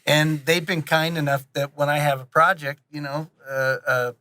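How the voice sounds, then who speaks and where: A man talking casually as an interview guest, in an animated, rambling and jokey way, often interrupting himself. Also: fairly high pitch, normal speed. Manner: casually profane. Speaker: interview guest, audio drama director and producer.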